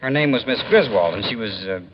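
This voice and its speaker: An American actor playing an earnest, folksy young drifter in a 1950s radio drama, frank and eager. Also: male voice, mid-range voice, expressive, quick pace, natural voice. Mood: guileless, defensive. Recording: muffled, noisy old radio recording.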